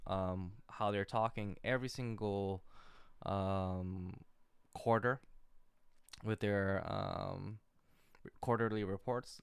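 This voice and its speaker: A man giving an off-the-cuff screen-share commentary as an amateur investor, talking in a halting, rambling way. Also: fairly low pitch, clean tone, dry recording, clean studio sound. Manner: halting, rambling, hesitant delivery. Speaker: retail investor recording a portfolio update.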